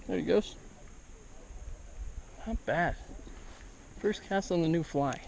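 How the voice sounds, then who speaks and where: A man's voice: on the high side, slow pace, gravelly, a little mellow, slight background noise. A young man filming himself fishing at a mountain lake on a body-worn action camera, chatting enthusiastically about his catch. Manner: enthusiastic, upbeat, gushing over the catch. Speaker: fishing vlogger and scout troop leader. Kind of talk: casual outdoor fishing commentary. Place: outdoors at a mountain lake shore.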